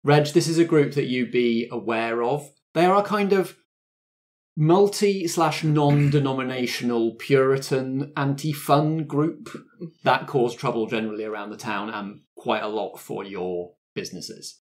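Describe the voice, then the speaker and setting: A man's voice: medium pitch, a little slow, darkish tone. A man acting as game master, narrating a tabletop roleplaying game and talking things through with the players.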